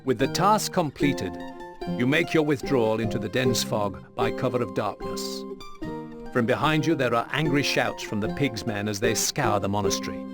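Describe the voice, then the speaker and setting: A man delivering measured, serious scripted narration for video game mission briefings. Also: mid-range voice, expressive. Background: music with plucked guitar.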